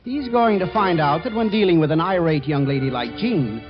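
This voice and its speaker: A man's voice: a little high, lively intonation, moderate pace, music in the background. A man hosting and playfully narrating a scripted 1940s radio comedy, on a dull old recording. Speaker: host and narrator.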